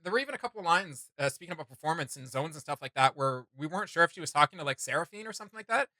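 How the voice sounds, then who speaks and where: Male reaction co-host, somewhat high and strikingly bright voice. Casual, rambling, enthusiastic fan chat. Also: expressive, rapid-fire, no echo.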